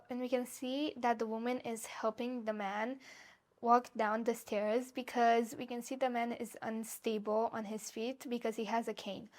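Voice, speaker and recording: Hesitant young Romanian-accented woman. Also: on the high side. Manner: hesitant, with fillers and restarts. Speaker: exam candidate.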